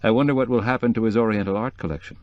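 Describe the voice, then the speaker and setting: A man voicing a reserved, wry middle-aged journalist, who speaks in a measured, dry way. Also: fairly low pitch, steady tone, no room sound, lo-fi sound, close to an everyday voice.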